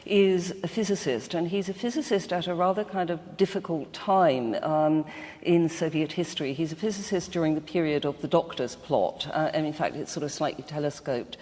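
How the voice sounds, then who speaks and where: A woman's voice: sits low, normal speed, darkish tone. A woman speaking as a guest in a literary panel discussion, putting her views emphatically. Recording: echoey hall with long reverb.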